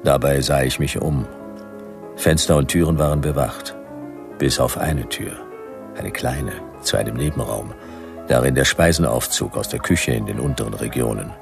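A laconic noir detective in a radio play. The voice is rock-bottom deep and full of creak, telling the story in short, dry sentences. Soft music runs underneath. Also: male voice, normal speed, full character voice. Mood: wry, watchful. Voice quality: gravelly. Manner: dry, clipped, terse narration. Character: laconic hardboiled male private detective, aged 96, narrating.

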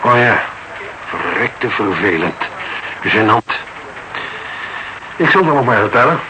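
A man's voice: fairly low pitch, very animated, slow. An actor in a radio drama playing a cynical, blunt older businessman with a formal, haughty manner. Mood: embarrassed, vexed. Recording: noisy radio drama recording.

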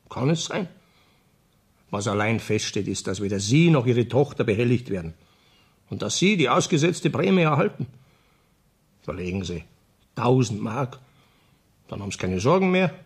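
Ein Schauspieler spielt in einem Hörspiel einen berechnenden Kriminalkommissar, der förmlich und überzeugend spricht. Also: male voice, mid-range voice, big pitch swings, husky. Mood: formal, insistent. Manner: formal, persuasive, measured. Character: calculating male police commissioner bargaining with an informant. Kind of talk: radio play acting.

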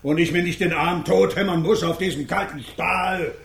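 An actor playing a foul-mouthed, hard-drinking blacksmith in a children's radio play, cursing and grunting in short bursts. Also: male voice, high-pitched, fairly even intonation, moderate pace, creaky, a bit bright. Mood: goading. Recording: echoey room with background noise.

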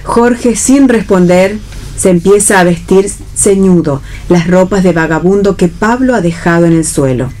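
A woman's voice: on the low side, moderate pace, darkish tone. A woman reading stage directions as narrator of a radio play. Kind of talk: read narration for radio theatre.

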